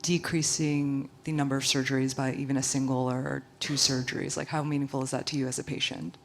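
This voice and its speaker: A woman's voice: a bass voice, level tone, brisk, vocal fry, slight background noise. A woman in the audience asking a panel a question, polite and hesitant, thinking aloud.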